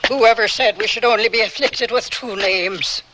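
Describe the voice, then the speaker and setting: An actress playing a tall, bossy but kindly Irish landlady in a radio drama, brisk and garrulous. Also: fairly high pitch, noisy background, lightly acted. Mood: brisk, businesslike.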